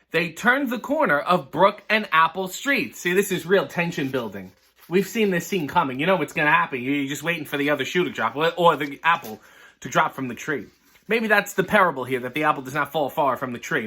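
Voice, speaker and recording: Male comic storyteller, high and bright, wryly digressing from the children's book he reads. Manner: mock-concerned, wry, digressive. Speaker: host reading a children's book aloud. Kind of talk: comic storybook reading with running commentary.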